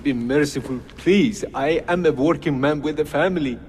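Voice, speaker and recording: A man's voice: on the high side, moderate pace, darkish tone, lightly acted. An actor playing a humble, frightened male labourer in a video game, speaking in a pleading, deferential way. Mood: fearful, pleading. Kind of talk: video game cutscene acting. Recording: long cavernous reverb, faint ambient noise.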